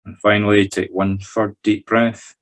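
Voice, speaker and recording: A man's voice: fairly low pitch, little pitch movement, a little slow. A Scottish man leading a guided meditation, talking listeners through each step in an informal, conversational way. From Scotland. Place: recorded in a group video call.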